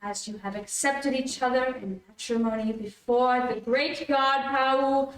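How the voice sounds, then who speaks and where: A woman playing a solemn, defiant alien high priestess in an old-time radio serial, ceremonial and declamatory. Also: fairly even intonation, a little slow. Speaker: amateur radio play actor. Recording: echoey, distant streamed stage audio.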